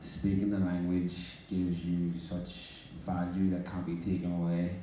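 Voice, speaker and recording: Deep-voiced man in echoey hall. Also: monotone, a little slow, warm tone, distant mic, a little hiss or hum. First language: Irish. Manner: cheeky, then earnest and reflective. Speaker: panel guest, rapper. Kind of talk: live onstage panel talk. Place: large indoor venue before an audience.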